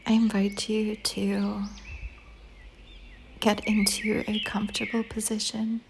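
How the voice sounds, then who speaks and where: Slow, whispery woman guiding meditation. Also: medium pitch, noisy background. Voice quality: soft, breathy near-whisper. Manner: slow, calm, soothing, with long pauses. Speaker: meditation guide. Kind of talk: guided meditation. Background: soft music.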